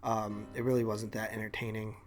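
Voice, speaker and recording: A man's voice: fairly low pitch, flat delivery, a little fast. A man co-hosting a podcast, chatting in a casual, conversational way. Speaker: podcast co-host. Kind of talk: casual banter.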